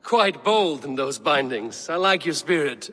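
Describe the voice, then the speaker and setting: Terse, wary Viking warrior. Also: male voice, a little high, animated, a little slow, heavily acted. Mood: wry. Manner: terse, dry. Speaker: game character actor. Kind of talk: cinematic video game acting. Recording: loud water and outdoor ambience.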